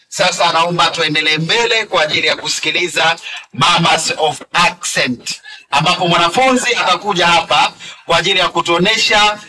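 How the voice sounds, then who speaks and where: A man's voice: a little high, sharp tone. A man hosting a school talent show, announcing acts enthusiastically. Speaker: master of ceremonies. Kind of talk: event hosting. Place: school hall event. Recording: echoey hall, far from mic, faint noise.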